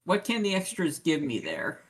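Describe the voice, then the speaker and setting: A man's voice: fairly high pitch, huge pitch swings, normal speed. A man chatting casually as a player in a tabletop game, often hesitant and asking questions.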